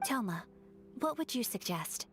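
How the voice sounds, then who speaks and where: A woman's voice: a little high, big pitch swings. An actress playing a refined young noblewoman in an anime game, formal, composed and serious. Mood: thoughtful. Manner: formal, composed, measured wording. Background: game music.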